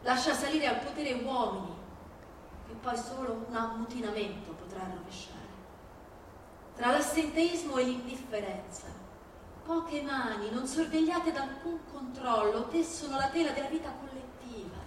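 Echoey, high-pitched woman declaiming on stage. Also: expressive. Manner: emphatic declamation in short, deliberate phrases. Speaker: stage performer reciting a political text. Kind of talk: dramatic theatrical recitation. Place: live theatrical reading in a reverberant space. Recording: echoey hall, far from microphone, faint noise.

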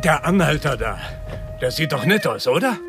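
An actor playing a jovial driver who gives rides in a radio play, with a drawling, amused manner. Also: male voice, fairly high pitch, big pitch swings, moderate pace, over music. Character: jovial male driver, loud barking laugher.

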